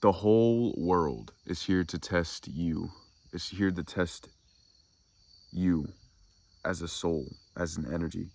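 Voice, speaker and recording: A man hosting a soft-spoken spiritual ASMR talk, congested and nasal from a cold, musing slowly and reflectively. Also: no echo. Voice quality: deep, gravelly. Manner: slow, meandering reflective musing. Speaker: ASMR spiritual channel host.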